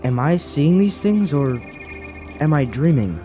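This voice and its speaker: A man's voice: a little high, expressive, unhurried, dark tone, soft background music. A man playing a troubled, doubting character in a fantasy radio drama, brooding and hesitant in his speech. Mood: distracted, brooding.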